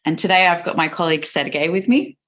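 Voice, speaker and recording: Australian woman host for a live online Q&A, lower voice, chatty with ums, muffled call audio. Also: steady tone, fast talker, a bit bright. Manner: friendly, chatty, with fillers. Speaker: webinar host. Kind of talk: live webinar hosting. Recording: dull lo-fi video call audio.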